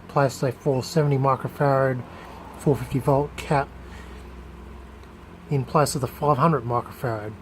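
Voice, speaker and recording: A man gives a casual, unscripted hands-on demonstration as a hobbyist restorer, talking haltingly and searching for his words. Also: mid-range voice, slight background noise. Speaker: hobbyist electronics restorer presenting a video.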